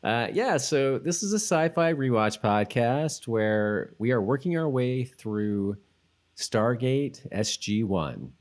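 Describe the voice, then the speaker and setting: A man's voice: mid-range voice, big pitch swings, takes it slowly. A man co-hosting a casual podcast chat, often trailing off and rephrasing mid-thought. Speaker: podcast co-host.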